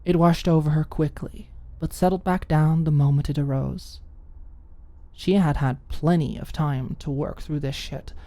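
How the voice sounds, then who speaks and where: Low, mellow woman narrator, very dry. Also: steady tone, measured pace, no echo. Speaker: audiobook narrator. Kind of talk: scripted story narration, ASMR audiobook.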